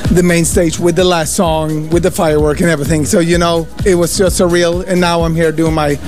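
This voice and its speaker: High-voiced man, halting festival chat. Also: level tone. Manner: halting, many fillers and restarts. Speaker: DJ guest. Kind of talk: casual interview chat. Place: festival radio booth. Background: music.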